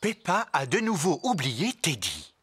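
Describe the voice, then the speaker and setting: A woman's voice: low voice, wildly swooping pitch, a little slow, heavily acted. A woman narrating a children's cartoon in a French dub, in a scripted storybook style. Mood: explaining. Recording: music behind.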